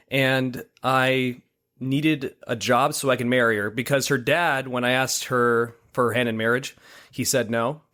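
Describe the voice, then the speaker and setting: A man in his thirties from Hawaii, a guest on a podcast, telling his story in a relaxed, easygoing way. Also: medium pitch, clean tone. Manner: relaxed storytelling, laughs easily. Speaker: guest, military lab technician. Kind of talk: casual interview.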